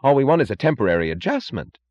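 Man putting on a flustered, high-pitched bureaucrat. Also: sing-song, fast, a little mellow, dry recording, highs cut off. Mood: flustered, protesting. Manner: urgent, gasping, flustered. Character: flustered, heedless male government official, anxious and evasive.

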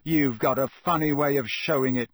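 A man's voice: a little high, expressive, moderate pace, husky, no room sound, pitched above the performer's own voice. Man as a sulky, sneering teenage boy. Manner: scathing, accusatory, blurting.

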